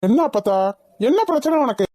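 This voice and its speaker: A woman's voice: high voice, animated, brisk, mellow, husky, dry recording, studio quality, voice pushed down. A woman voicing a cheeky, lovestruck young man who answers in curt, grumbling retorts. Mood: sulky. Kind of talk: comedy skit.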